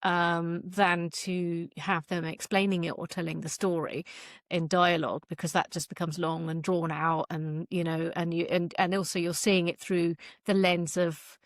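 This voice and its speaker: A woman's voice: fairly low pitch, flat delivery, moderate pace, no echo, studio quality. A woman speaking as a guest author in an interview, answering in a casual, chatty, conversational way.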